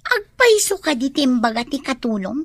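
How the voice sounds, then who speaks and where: A woman's voice: high-pitched, big pitch swings, normal speed, no room sound, full character voice. A woman with an Ilocano accent playing a demanding, resentful daughter in a radio drama. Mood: resentful, nagging.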